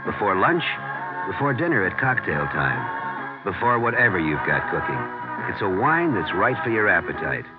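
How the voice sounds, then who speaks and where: A man's voice: low voice, very animated, moderate pace. An American man reading a scripted 1970s radio advertisement with a polished, persuasive announcer's delivery. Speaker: commercial announcer. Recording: dull vintage radio, loud music bed. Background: loud jingle music.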